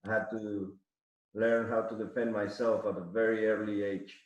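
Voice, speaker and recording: A man's voice: lowish voice, flat delivery, moderate pace. A middle-aged man from Mexico City, a podcast guest telling rambling stories full of restarts and fillers. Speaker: podcast guest, arm wrestler. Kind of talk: casual interview storytelling. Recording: echoey, muffled audio.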